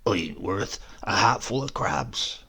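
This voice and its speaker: Croaking old English countryman. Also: fairly low pitch, animated, unhurried, pushed and bright, full character voice. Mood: weary, gloomy. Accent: rural English. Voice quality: croaking, breathy, weary. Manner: rustic, grumbling, conspiratorial. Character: feisty, sly, dying old countryman great-uncle.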